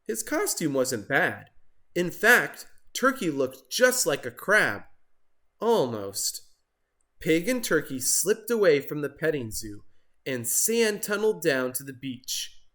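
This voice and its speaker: A man reading a children's picture book aloud as an expressive, scripted narration. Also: fairly high pitch, slow pace. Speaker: storybook reader.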